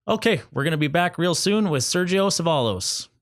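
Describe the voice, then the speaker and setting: A man's voice: on the high side, animated, fairly quick, dry recording. Male talk show host; upbeat, jokey, promotional energy; dry close-miked sound.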